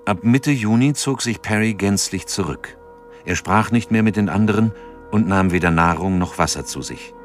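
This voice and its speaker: A man with a very deep voice narrates a radio drama. He reads in a measured, sober way, with music in the production. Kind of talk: scripted audio drama narration.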